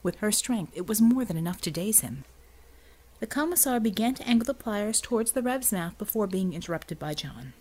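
A woman's voice: medium pitch, animated, a little fast, darkish tone, poor audio. A woman reading scripted, dramatic narration as an audiobook narrator.

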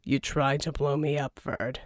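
A gruff male mercenary from an audiobook, played by a woman. The voice sits low and subdued, slow and muttering. It is deadpan, sardonic and thoroughly unimpressed. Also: no echo, clean studio sound. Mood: resentful, deadpan. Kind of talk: audiobook character dialogue.

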